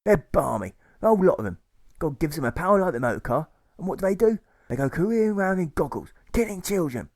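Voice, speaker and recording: A man's voice: on the high side, big pitch swings, fast talker, darkish tone, no echo, studio quality, voice pushed up. A man voicing an indignant working-class socialist in an audiobook, ranting in colloquial speech.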